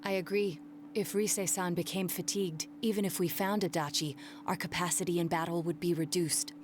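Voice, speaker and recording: Formal, slightly low composed teenager played by a woman. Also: fairly even intonation, noisy background, lightly acted. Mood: calm concern. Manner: measured, formal, precise. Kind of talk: video game voice acting.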